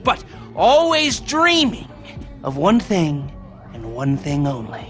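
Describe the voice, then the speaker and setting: A man's voice: a little high, huge pitch swings, takes it slowly, over music, heavily acted. A man playing a nerdy American criminal mastermind in a video game, wry and theatrical, with mock-formal speeches broken by hesitations. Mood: storytelling. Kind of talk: video game cutscene acting.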